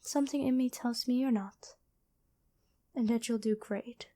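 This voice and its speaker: Gentle, mellow angel healer played by a woman. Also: a little high, steady tone, measured pace, lightly acted. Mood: weary, sincere. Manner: gentle, soothing speaking to one listener. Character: gentle, dutiful angel, former human medic, caring. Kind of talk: ASMR roleplay.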